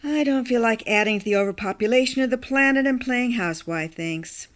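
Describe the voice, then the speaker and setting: A woman's voice: on the high side, brisk, a bit bright, natural voice. A woman playing a blunt, independent blue-collar plumber with a casual, dry manner in an audio drama. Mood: curt, unimpressed.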